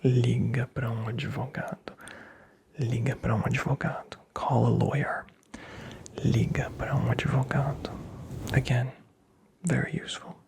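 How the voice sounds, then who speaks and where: A man from Brazil, whispering close to the mic as he teaches phrases in a playful, teasing, deadpan way and repeats each one slowly. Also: medium pitch, vocal fry, breathy edge. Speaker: ASMR language teacher. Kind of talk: whispered ASMR language lesson.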